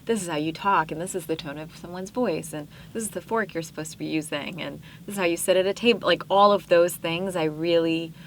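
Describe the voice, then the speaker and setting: A young woman with a slightly low voice tells stories from her youth in a loose, chatty way, with lots of "like" and "um". She sounds animated when she gets going. A faint hiss of noise sits under the recording. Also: fairly quick, few pauses. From Pakistan. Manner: chatty, animated storytelling with frequent fillers. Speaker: podcast guest. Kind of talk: casual interview chat.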